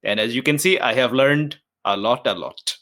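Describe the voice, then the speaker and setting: A man's voice: mid-range voice, moderate pace, fairly bright tone. A man playing a tabletop game, slipping casually between chat and in-character lines in a playful, informal way.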